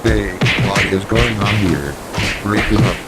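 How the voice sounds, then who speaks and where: A man's voice: fairly low pitch, measured pace, over loud music, lightly acted. A man playing an urgent police officer in an amateur audio drama. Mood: alarmed. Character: urgent male police officer at a storm scene. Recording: loud wind and surf noise.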